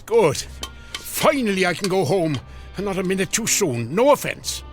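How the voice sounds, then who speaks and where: An actor playing a flustered, crotchety visitor from 1890, grumbling in bewilderment at modern things in a comic radio drama. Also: male voice, high voice, big pitch swings, moderate pace, soft background music. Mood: relieved. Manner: alarmed, grumbling, old-fashioned bewilderment.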